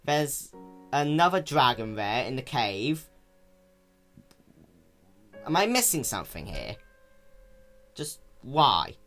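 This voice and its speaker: A man delivers an off-the-cuff review in a casual, rambling manner. His pitch is highly animated, with exaggerated stress and mock-lordly flourishes. Faint music sits behind him. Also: slow, long pauses. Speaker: fan fiction reader and reviewer.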